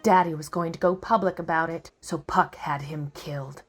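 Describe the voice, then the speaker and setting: Female actor as 1940s radio femme fatale heiress. Low, dramatic, earnest, with light music underneath. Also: steady tone, normal speed. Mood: urgent.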